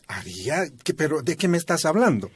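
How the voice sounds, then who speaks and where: A man's voice: on the high side, very animated, brisk. Kindly old father. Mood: gentle. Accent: Bolivian Spanish. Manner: warm, reassuring, fatherly. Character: kindly, gentle father of a grown daughter. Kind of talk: radio drama acting.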